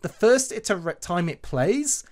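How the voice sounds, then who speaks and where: A man's voice: sits high, big pitch swings, a little slow. A man hosting an informal step-by-step tutorial, explaining things in an emphatic, sing-song way. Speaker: tutorial host.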